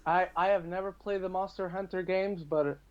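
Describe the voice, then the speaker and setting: A man's voice: high-pitched, steady tone, moderate pace, muffled recording. A man chatting casually as a guest on a film podcast, informal and opinionated.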